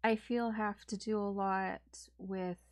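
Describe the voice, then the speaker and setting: Brief: woman tarot reader, chatty and meandering, mid-pitched, dry room sound. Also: fairly even intonation, takes it slowly, no room sound. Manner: rambling, filler-heavy talk. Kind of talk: casual weekend tarot reading.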